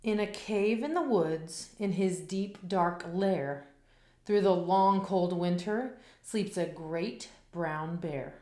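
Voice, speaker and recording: A woman reading a children's picture book aloud in a slow, expressive, rhythmic way, after a casual spoken intro. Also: on the low side, reverberant.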